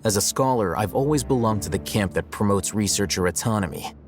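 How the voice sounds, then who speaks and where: An actor playing an aloof, analytical young male scholar in a video game, speaking in a measured, formal, dryly probing way. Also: on the low side, soft background music, close to an everyday voice. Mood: cool, probing. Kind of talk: video game cutscene acting.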